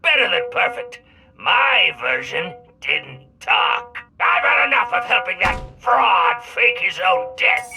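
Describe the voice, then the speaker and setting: An actor playing an embittered, indignant older forger with a grudge, menacing and boastful, in a sci-fi video game. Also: male voice, high voice, sing-song, slow pace, creaky, full character voice. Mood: angry, boastful. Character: embittered, grudge-bearing retired male forger in hiding. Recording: very loud music behind.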